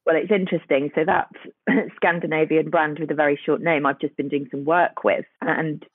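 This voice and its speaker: A woman's voice: sits low, steady tone, normal speed, no echo. A woman in her forties, a guest on a chat show, losing her voice, talking chattily and repeating words as she thinks. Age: 40s. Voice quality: husky, gravelly. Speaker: guest, fashion journalist. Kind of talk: casual interview chat. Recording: tinny phone line.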